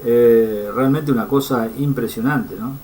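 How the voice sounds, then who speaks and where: A man's voice: mid-range voice, measured pace, a little mellow, distant mic. A man hosting an interview, friendly and hesitant, filling with "eh" and "ujú". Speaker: interview host.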